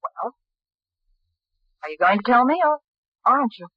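A woman's voice: a little high, sing-song, moderate pace, no room sound. An actress playing a plucky, probing young woman sleuth in a 1940s radio mystery. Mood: probing, pressing. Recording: muffled old radio recording.

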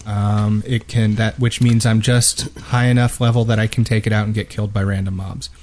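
A man's voice: fairly low pitch, little pitch movement, moderate pace, darkish tone, a little hiss or hum. A man co-hosting a gaming podcast from a home studio, chatting casually in a rambling, self-deprecating way close to the microphone. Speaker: podcast host. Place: home studio with unpadded walls. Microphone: boom-mounted microphone close in front of mouth.

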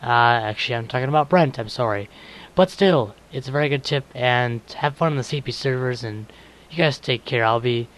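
A man leaving a casual, unedited voice message with stutters and long pauses. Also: medium pitch, a bit bright. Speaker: listener sending a voice message. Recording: hissy home-recorded audio message.

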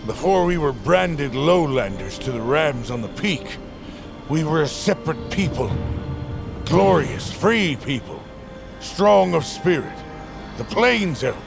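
A man's voice: a little high, animated, takes it slowly, vocal fry, over music, heavily acted. An actor playing a withered old male attendant, anxious and grumbling, in an audio drama. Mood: solemn. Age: older. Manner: fretful, colourfully grim, exclaiming. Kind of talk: audio fiction drama acting.

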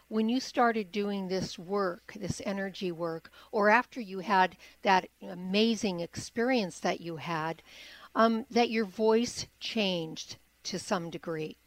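A woman's voice: mid-range voice, slow pace, rough recording. A woman hosting a radio talk show, asking long, rambling personal questions and murmuring agreement as she listens. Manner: steady stream of soft backchannel murmurs, rambling personal questions. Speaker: radio host. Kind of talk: radio interview.

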